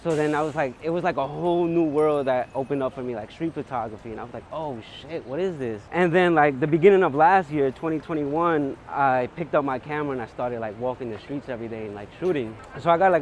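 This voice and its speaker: A young man with a New York accent chatting casually and enthusiastically, using slang and plenty of filler "like". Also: mid-range voice. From New York City, USA. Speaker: street photographer, guest. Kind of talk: casual chat. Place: outdoors on a busy city street. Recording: clear outdoor street and traffic noise.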